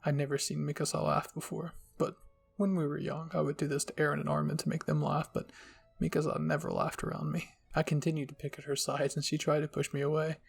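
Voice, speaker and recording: A man's voice: on the high side, flat delivery, fast talker, creaky, husky. A man narrating a scripted first-person story as the host of an audio channel.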